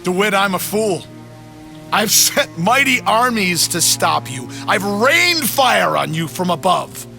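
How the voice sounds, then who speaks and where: An actor playing an elderly, zealous prophet villain in a video game, preacherly and deliberate, with grandiose menace. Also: male voice, sits high, big pitch swings, creaky, bright, forceful tone, faint music behind, full character voice. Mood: bitter, urgent. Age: older. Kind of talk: dramatic video game voice acting.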